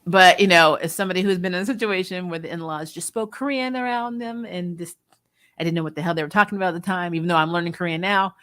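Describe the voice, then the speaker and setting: Woman reviewer chatting casually, bit low pitched but bright and forward, opinionated, candid. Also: quick pace. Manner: candid, animated retelling with mock quotes and mild swearing. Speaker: video host reviewing a TV episode. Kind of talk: casual opinionated commentary to viewers.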